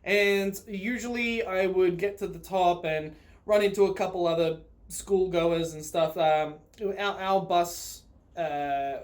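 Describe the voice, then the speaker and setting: A man with a notably high-pitched voice and an Australian accent tells a story in a casual vlog style. He rambles informally and swears freely, recording himself on a phone. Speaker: vlogger.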